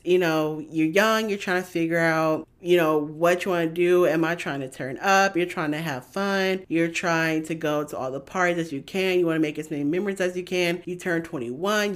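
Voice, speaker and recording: A woman in her twenties hosting a podcast on her own, talking in a rambling, chatty way with lots of "like" and "you know.". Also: low-pitched. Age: 20s. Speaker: podcast host. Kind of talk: casual solo podcast chat.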